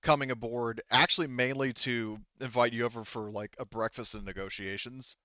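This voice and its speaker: A man narrating a tabletop roleplaying game as game master in a halting, conversational way, often relaying what characters say. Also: mid-range voice, fairly even intonation, sharp tone, no room sound, muffled recording.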